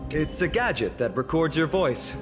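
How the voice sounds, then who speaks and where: A man playing an earnest, genial young warrior guide in a video game, gentle and thoughtful. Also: on the high side, big pitch swings, normal speed. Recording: game music underneath. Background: game music.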